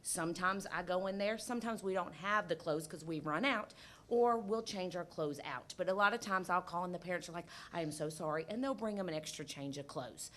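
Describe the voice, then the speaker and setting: A woman elementary principal with a loud voice, talking at a board meeting into a table microphone. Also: on the low side, fairly quick. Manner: loud-voiced, lively, conversational. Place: school board meeting room.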